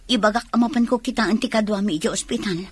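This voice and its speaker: A woman's voice: on the high side, quick pace, husky. A woman acting in a radio drama as a helpful, frank policewoman. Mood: reassuring, frank. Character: helpful policewoman, frank older-sister type.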